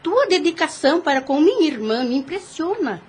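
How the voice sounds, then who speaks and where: A woman's voice: high voice, big pitch swings, brisk, a little mellow. A woman playing a formal, inquisitive, calculating mother and would-be heiress in a theatrical audio drama style. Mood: inquisitive, suave. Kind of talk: religious audio drama acting.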